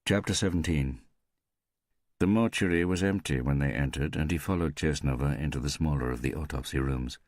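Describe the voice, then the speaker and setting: Very deep-voiced male audiobook narrator. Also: a little fast, a little mellow, no room sound, clean studio sound. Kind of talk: scripted narration of a crime thriller.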